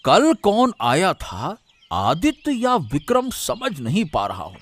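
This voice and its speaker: A man's voice: high voice, wildly swooping pitch, slow, heavily acted. A man playing a bullying, scheming village orchard owner in a cartoon moral story, blustering and repeating his commands. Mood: puzzled, brooding.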